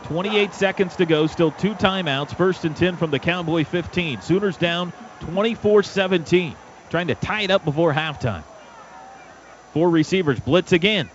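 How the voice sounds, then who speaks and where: Male American radio play-by-play caller, higher voice, clipped football calls, shouts on big plays, dull sound over loud stadium noise. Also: normal speed. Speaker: play-by-play announcer.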